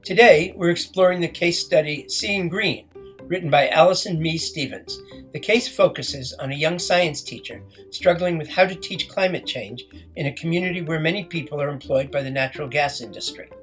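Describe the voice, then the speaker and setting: A man's voice: fairly high pitch, normal speed, a bit bright, faint music behind. A man reading a scripted podcast introduction as a presenter.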